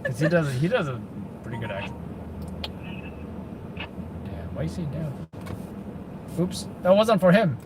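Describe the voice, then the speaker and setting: A man's voice: on the high side, sing-song, takes it slowly, long pauses, noisy background. A man hosting a casual live commentary, chatting and explaining police radio codes while watching footage. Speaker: host.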